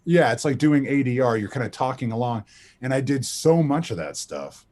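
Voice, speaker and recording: A man's voice: mid-range voice, normal speed. A middle-aged man with a powerful voice, a voice actor chatting as an interview guest and acting out the people in his stories. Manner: animated storyteller, acts out quotes. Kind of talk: casual interview chat, storytelling.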